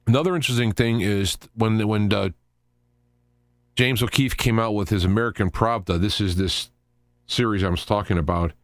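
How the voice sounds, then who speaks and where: A man hosting a live talk radio show, giving unscripted commentary in a rambling, halting way with stops, starts and digressions. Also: fairly low pitch, fairly even intonation, no echo.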